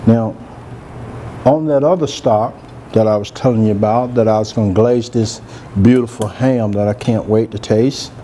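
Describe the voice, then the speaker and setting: A soft-spoken man, chatting relaxed as he hosts a cooking demonstration in a large room. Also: fairly low pitch, moderate pace, a little hiss or hum. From Georgia, US. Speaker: cooking show host, chef. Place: large room with a live audience.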